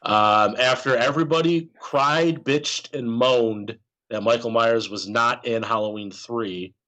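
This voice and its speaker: Male host, casual horror chat, mid pitch, loose and profane. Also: a little slow, bright, forceful tone, poor audio. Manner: loose, profane, introduces films. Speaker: podcast host.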